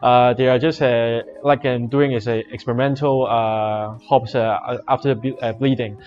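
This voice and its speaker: A man explaining beer tasting casually on a livestream, in halting, hesitant phrases. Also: mid-range voice, fairly even intonation, darkish tone. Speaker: brewery packaging supervisor co-hosting a tasting. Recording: muffled livestream audio with faint music. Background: faint background music.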